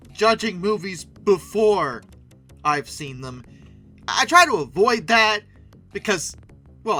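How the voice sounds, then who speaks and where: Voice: medium pitch, sharp tone. A video host giving casual, sarcastic commentary with emphatic delivery.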